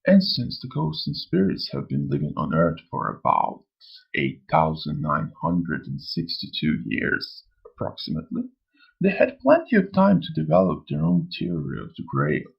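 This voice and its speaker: A man voicing a sly, amused old spirit in a motion comic, lecturing theatrically in a gravelly, husky voice. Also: sits low, huge pitch swings, moderate pace, highs cut off, exaggerated voice. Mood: storytelling, measured. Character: sly, amused old male spirit who preaches and taunts. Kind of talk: scripted motion comic dialogue.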